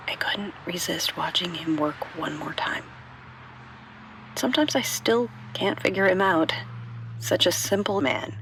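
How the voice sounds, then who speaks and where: An actress playing a weary female bank robber in an audio drama, confessing in a hushed, breathy monologue. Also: lowish voice, slight background noise. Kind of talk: scripted first-person confession monologue.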